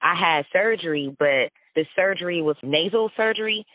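A woman's voice: lowish voice, normal speed, no echo. Laughing woman on a tinny line. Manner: laughs often, animated storytelling. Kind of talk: casual chat. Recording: dry phone-like sound.

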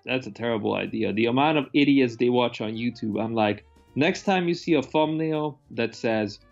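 Male film-chat co-host, gravelly fry, blunt scornful opinions, muffled recording with faint music behind. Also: medium pitch, normal speed. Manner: sweary, scornful rants. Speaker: film discussion show co-host. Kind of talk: casual opinionated film discussion. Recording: dull lo-fi mic, faint music.

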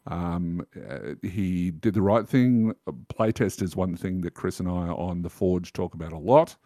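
A man's voice: low-pitched, measured pace, mellow, no room sound, clean studio sound. A man in his fifties chatting as a podcast guest, enthusiastic as he recommends something. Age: 50s. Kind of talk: casual podcast chat.

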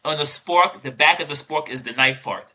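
A man's voice: a little high, moderate pace, sharp tone. A man co-hosting a podcast, chatting casually and teasing his guest with jokes. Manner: joking, teasing, playful. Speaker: podcast co-host.

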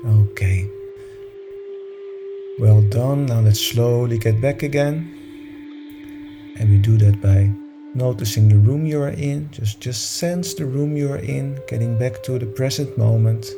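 A man's voice: medium pitch, unhurried, long pauses, over music. A man leading a meditation session, talking informally to a group in a soothing, encouraging manner.

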